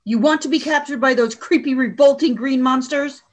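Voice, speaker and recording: Woman as high-voiced, domineering alien commander. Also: moderate pace. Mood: scornful fury. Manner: commanding, formal, scornful. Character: stern, domineering alien female military leader. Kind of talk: radio play acting.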